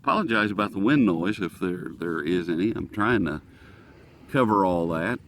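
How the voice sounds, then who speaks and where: A man with an American accent giving a casual, unscripted product review, halting and thinking aloud as he goes. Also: low voice, animated, a bit bright, slight background noise. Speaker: host of a radio review video. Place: outdoors.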